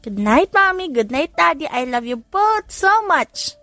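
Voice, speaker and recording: A woman's voice: high voice, big pitch swings, unhurried, pushed and bright, no room sound, voice pushed up, full character voice. A Filipino woman voicing a sweet, affectionate little boy in a childlike, coaxing way for an audiobook. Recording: music under the voice.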